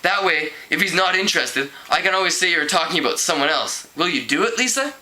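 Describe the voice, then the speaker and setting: Man reading as a bright-voiced dramatic teenage girl. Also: a little high, animated, a little fast. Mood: pleading. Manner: dramatic, complaining, insistent. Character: lovesick, anxious, scheming teenage girl from a novel.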